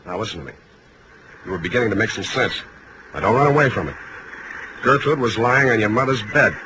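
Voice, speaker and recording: An actor playing a blunt, tough, wisecracking private detective in terse 1940s radio drama style, on a noisy old recording. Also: male voice, on the low side, expressive, a little fast, lightly acted. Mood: firm, probing. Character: hard-boiled, blunt private detective, a grown man.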